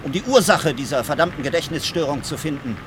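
An actor playing an agitated, conscientious space-agency official in a radio play, earnest, urgent and defensive. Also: male voice, on the high side, expressive, quick pace, noisy background, lightly acted.

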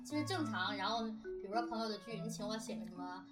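A woman's voice: mid-range voice, expressive, quick pace. A woman chatting casually and animatedly about her work, rambling a little in a self-deprecating way. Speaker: radio drama director and discussion host. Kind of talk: casual chat about making audio dramas. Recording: slightly distant mic, music behind. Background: music.

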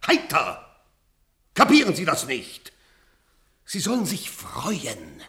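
A condescending psychologist-doctor in a science-fiction radio drama. His fairly high voice swings widely in pitch. He is impatient, mocking and full of bluster. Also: huge pitch swings, takes it slowly, bright, forceful tone, heavily acted. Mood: exasperated. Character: condescending, impatient male doctor, an irritable overseer of minds. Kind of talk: German science-fiction radio play acting.

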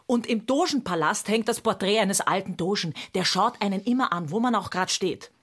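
Casting: actress as older widow in radio drama, gushing, gossipy, given to nostalgic rambling. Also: female voice, mid-range voice, moderate pace, husky, lightly acted. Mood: gushing, wistful. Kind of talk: radio play dialogue.

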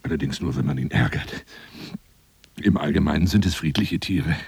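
Deep, gravelly lonely theatrical hunter. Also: male voice, normal speed, darkish tone, no echo, full character voice. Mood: confiding, boastful. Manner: theatrical, courtly, intimate, drifting into whispers. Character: lonely self-dramatizing male lion hunter wooing a woman. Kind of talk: radio drama monologue as one side of a phone call.

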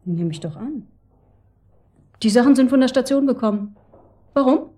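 Actress as high, mellow-voiced clinic clerk. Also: female voice, animated, normal speed, lightly acted. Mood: matter-of-fact. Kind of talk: German radio drama acting.

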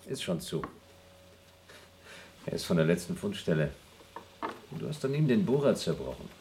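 A deep-voiced, pedantic astronaut who explains things in a dry, lecturing tone. The voice sits very low. A steady hiss of background noise runs under the old radio play recording. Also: male voice, moderate pace. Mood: calm. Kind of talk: 1970s German radio play acting.

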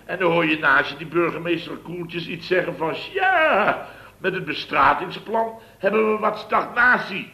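A blustering contractor boss, bright and roomy. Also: male voice, sits high, measured pace, exaggerated voice. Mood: exasperated. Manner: rambling, exasperated storytelling. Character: blustering middle-aged male building contractor boss. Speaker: construction firm boss. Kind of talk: 1960s radio comedy acting.

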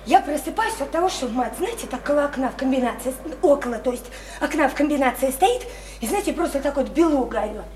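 A woman's voice: high-pitched, lively intonation, quick pace, a little mellow. An actress in a Russian radio play playing a naive, chatty, insistent provincial woman, eager and coaxing, with colloquial, repetitive talk. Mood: excited, chatty. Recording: roomy, slightly noisy radio play recording.

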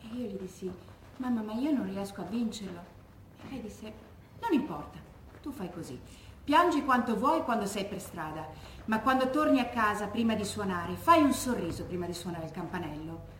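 A woman's voice: medium pitch, animated, normal speed, natural voice. An actress playing an anguished bereaved daughter who recalls her stoic mother in an intimate, earnest theatrical monologue. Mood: intimate, sorrowful. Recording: echoey room, faint background noise.

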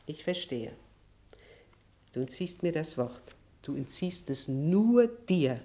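Proud embittered wife, slow and low. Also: female voice, very animated, warm tone, heavily acted. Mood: bitter reproach. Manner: deliberate, eloquent, pointed dramatic delivery. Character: proud, embittered estranged wife and mother, accusing. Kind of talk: German radio drama acting.

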